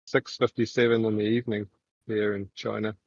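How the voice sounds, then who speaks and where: A man from New Zealand with a New Zealand accent, chatting casually on a voice call while walking outdoors, halting and full of fillers. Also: fairly low pitch, little pitch movement, creaky, no room sound, lo-fi sound. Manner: rambling, halting, many fillers.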